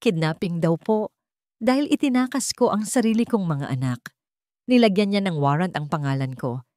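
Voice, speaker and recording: A woman's voice: fairly low pitch, animated, moderate pace, a little mellow, dry recording, studio quality, close to an everyday voice. A woman voicing a frightened, wounded runaway wife in a story, trembling and deferential. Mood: pained, fearful.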